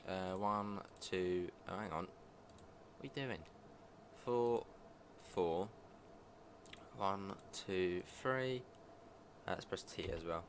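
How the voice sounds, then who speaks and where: A man's voice: deep voice, slow pace, long pauses, slight background noise. A man with a cold and a stuffy voice, chatting and thinking aloud while playing a game. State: congested from a cold. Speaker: guest game streamer. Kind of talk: live gameplay commentary. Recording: game sounds running under voice. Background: train simulator ambience.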